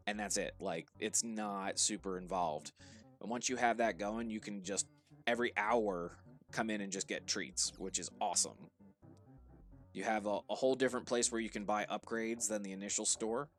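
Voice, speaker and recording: A man with a New Jersey and Pittsburgh accent, casually coaching viewers through a game on a livestream, relaxed and instructive. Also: fairly low pitch. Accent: New Jersey and Pittsburgh blend. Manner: relaxed, instructive, with frequent restarts and fillers. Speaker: streamer guiding viewers. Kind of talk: casual live game commentary.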